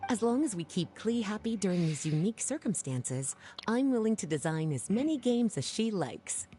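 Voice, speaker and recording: A woman plays a poised, unhurried grown scholar in a video game. She explains things calmly and evenly, her mid-range voice curving expressively. Soft game music plays underneath. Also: mid-range voice, lightly acted. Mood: composed. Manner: composed, measured explaining.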